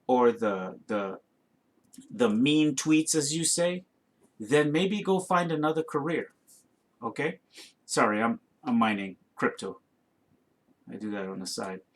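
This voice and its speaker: A man's voice: medium pitch, fairly bright tone. A man with a North American accent tinged with Trinidadian, giving opinionated commentary as a video host in an emphatic, indignant way, often checking in with short tag questions. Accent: North American with some Trinidadian. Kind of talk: opinionated reaction commentary to camera.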